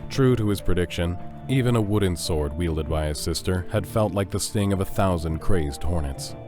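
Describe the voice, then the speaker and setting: A man's voice: low-pitched, moderate pace. A man narrating a scripted fantasy story as the narrator of an audio drama. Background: loud music.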